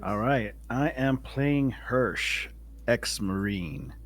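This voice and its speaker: A man playing a tabletop game, introducing his character in a halting, deliberate way. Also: mid-range voice, animated. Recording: faint music behind the voice.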